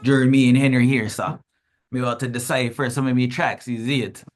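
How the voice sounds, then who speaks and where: A man's voice: mid-range voice, steady tone, fairly quick, faint music behind, voice pushed up, close to an everyday voice. A man putting on a joking Jamaican patois voice. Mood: playful. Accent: put-on Jamaican. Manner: joking, slangy patois greeting. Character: playful mock-Jamaican man greeting viewers.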